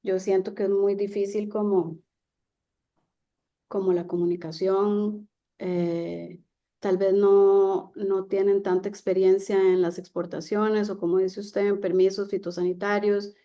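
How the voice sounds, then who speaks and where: Woman in her thirties, trade speaker, hesitant and full of fillers, gravelly, mellow tone. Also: mid-range voice, little pitch movement, measured pace. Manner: hesitant, many fillers and restarts. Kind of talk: lecture Q&A. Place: seated, online talk.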